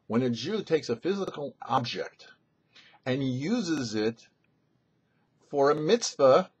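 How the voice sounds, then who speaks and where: A man, a rabbi, giving a weekly Torah commentary in a thoughtful, explanatory teaching manner with pauses. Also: medium pitch, very animated, slightly rough, lo-fi sound. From United States. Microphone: studio mic.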